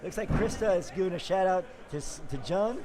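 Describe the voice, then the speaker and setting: A man with a raspy voice calling live sports play-by-play, hoarse after days of talking, upbeat and quick with frequent fillers. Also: high-pitched, crowd noise. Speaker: play-by-play commentator.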